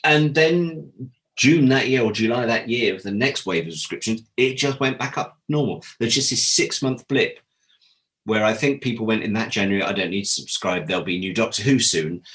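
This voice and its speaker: A man's voice: fairly low pitch, normal speed, fairly bright tone. A man being interviewed, telling candid anecdotes as a guest and acting out the conversations he quotes. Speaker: interview guest, former audio drama producer. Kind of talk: animated anecdotal interview talk.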